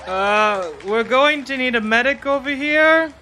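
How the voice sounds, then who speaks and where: A man plays a brash, excitable young adventurer in a fantasy radio drama. The voice is very high and bright for a man, blurting out casual lines over faint music. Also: measured pace, full character voice. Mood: alarmed.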